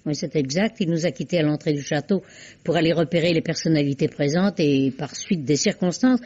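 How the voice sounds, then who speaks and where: A refined theatrical actress. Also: female voice, low voice, brisk, a little mellow, slightly rough. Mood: incredulous. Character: elegant actress, loyal and incredulous. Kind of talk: 1950s French radio drama acting. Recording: dull old radio recording.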